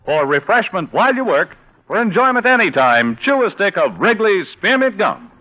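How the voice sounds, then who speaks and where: Bright, high-pitched American radio announcer man. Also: sing-song, normal speed. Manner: polished, upbeat selling. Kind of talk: 1950s radio ad read and credits. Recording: muffled old radio broadcast with music.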